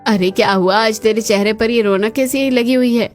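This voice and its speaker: A woman's voice: on the high side, moderate pace, natural voice. A woman voicing a grateful middle-aged village mother in a cartoon story. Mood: curious.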